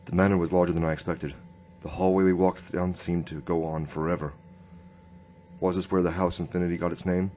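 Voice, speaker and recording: A man playing a world-weary, wry police inspector who narrates a noir radio drama in a brooding deadpan. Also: sits low, brisk, a little hiss or hum, natural voice.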